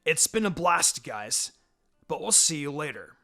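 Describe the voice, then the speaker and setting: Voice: mid-range voice, big pitch swings, unhurried, pushed and bright, voice pushed down, heavily acted. A narrator voicing a determined, upbeat twelve-year-old ninja boy, with music behind. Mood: cheeky.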